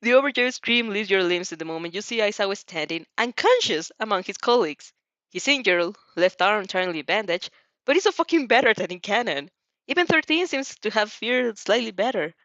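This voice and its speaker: A woman reading a story aloud in an expressive, amused way, sometimes stumbling and rereading phrases. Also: mid-range voice, fairly quick, no echo, dull audio. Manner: giggly, stumbles over phrases and rereads them. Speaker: fan fiction reader reacting as she reads.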